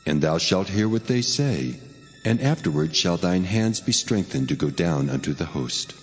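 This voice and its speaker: Male actor for commanding Almighty in scripture drama, low and solemn, drenched in long reverb. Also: expressive, moderate pace, soft background music. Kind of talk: dramatized Bible reading in archaic English.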